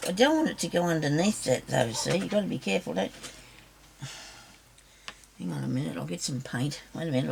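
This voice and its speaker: A middle-aged woman with a low raspy voice, chatting and rambling to viewers through a crafting demo. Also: darkish tone, a little hiss or hum. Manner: rambling, self-chuckling, talks to viewers. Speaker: craft vlogger.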